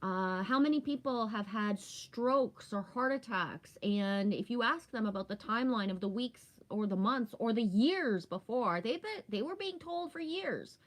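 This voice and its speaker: A woman's voice: a little high, animated, a little slow, hardly any pauses. Rambling, emphatic woman around fifty. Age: about 50. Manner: rambling, emphatic, often checks with "right?"; candid, swears casually. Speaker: livestream host. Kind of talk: casual spiritual livestream chat.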